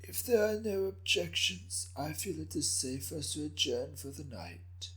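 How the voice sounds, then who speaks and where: Ancient japanese lord, thin high raspy voice, quiet and wry, storybook style. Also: male voice, animated, low-quality recording. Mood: wry, composed. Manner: measured, understated. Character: ancient sly Japanese daimyo, quietly amused.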